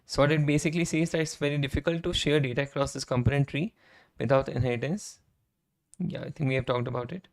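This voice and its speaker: A man with a hoarse, worn-down voice, chatting casually on a live coding stream, often tagging his sentences with "right?" and clearing his throat. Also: medium pitch, fairly even intonation, fast talker. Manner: conversational, frequent "right?" tag questions, throat clearing. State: hoarse, voice almost gone. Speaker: streamer and software engineer. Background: keyboard typing and clicks.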